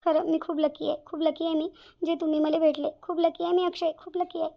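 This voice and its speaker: A woman's voice: very high-pitched, flat delivery, fairly quick, gravelly, highs cut off. A woman with a Varhadi Marathi accent voicing a selfless, earnest young bride-to-be in a cartoon family drama. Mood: grateful, relieved. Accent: Varhadi Marathi from Vidarbha. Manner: earnest, repeats phrases emphatically. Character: anxious, selfless young bride-to-be, tenderly devoted.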